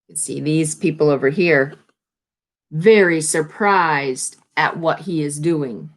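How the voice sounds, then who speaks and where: A woman teacher reading a children's picture book aloud, with expressive, deliberate reading and simply worded explanatory asides. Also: mid-range voice.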